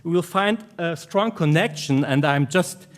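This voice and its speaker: The speaker is a man with a German accent and a voice pitched a little above average. He presents academic research to a conference in an informal way, with phrases that halt and restart as he goes. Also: a little slow.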